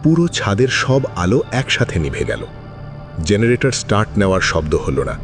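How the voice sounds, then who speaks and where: A man's voice: lowish voice, very animated, normal speed, soft background music. A man narrating a suspense thriller in a dramatic, scripted storytelling style.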